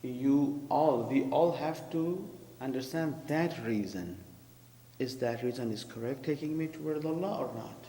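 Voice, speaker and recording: A man's voice: medium pitch, a little slow, mellow. Man preaching in an echoey hall. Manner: explains terms, asks the congregation. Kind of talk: sermon commentary. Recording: echoey hall with faint hum.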